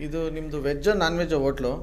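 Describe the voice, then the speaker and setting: Fast-talking, higher-pitched man on a prank call. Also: fairly even intonation, a little mellow. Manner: insistent, pleading. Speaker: radio host making prank calls. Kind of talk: prank phone call, casual colloquial talk.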